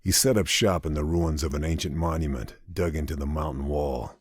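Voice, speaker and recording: A man voicing a calm, methodical wartime sniper in a video game cutscene, speaking in low, clipped, measured tones. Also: slightly rough, dry recording, clean studio sound. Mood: calm, planning. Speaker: sniper protagonist.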